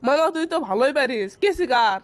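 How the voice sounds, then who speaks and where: A man's voice: extremely high pitch, expressive, fast talker, no room sound. A man voicing a brash, cocky young nephew in a comic cartoon, with a northern Bangladeshi accent, curt in his replies. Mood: cheeky. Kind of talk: comic cartoon dialogue in rural dialect.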